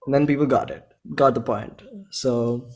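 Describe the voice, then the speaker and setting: Casting: Indian man, 20s, indie musician being interviewed; effusive, slangy, informal, mid-pitched voice. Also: steady tone, normal speed, darkish tone. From Bhopal, India. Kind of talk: casual chat.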